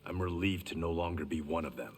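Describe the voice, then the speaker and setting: A man's voice: low voice, a little fast, lightly acted. A man playing an earnest, proud blind swordsman and former gangster in a video game fight intro. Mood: sober. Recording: echoey hall reverb, faint noise.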